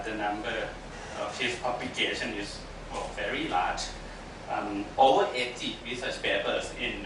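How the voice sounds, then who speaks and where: A man giving a hesitant formal introduction of a guest speaker, halting and full of fillers. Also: medium pitch, distant mic. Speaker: faculty host introducing a speaker. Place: echoey lecture room. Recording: echoey hall, picked up from afar, noisy.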